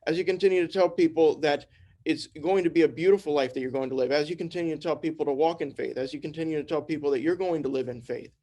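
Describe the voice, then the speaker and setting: A man's voice: fairly high pitch, fairly even intonation, fast, mellow. A young man giving an informal, earnest spiritual talk, repeating phrases and restarting often. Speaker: trance channel and speaker. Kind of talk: informal sermon.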